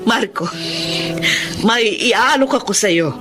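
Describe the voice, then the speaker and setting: A woman's voice: a little high, lively intonation, slow pace, over loud music. Tender motherly woman, expressively lilting. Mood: emotional, solemn. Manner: tender, emotional, earnest. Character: kind, motherly unmarried older woman, sentimental benefactor. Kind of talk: Filipino radio drama acting.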